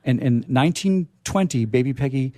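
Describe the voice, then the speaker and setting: A man's voice: medium pitch, lively intonation, moderate pace, mellow, no echo, clean studio sound. A middle-aged man hosting a radio theater show, telling stories casually and theatrically, repeating phrases for emphasis. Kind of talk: casual chat and storytelling.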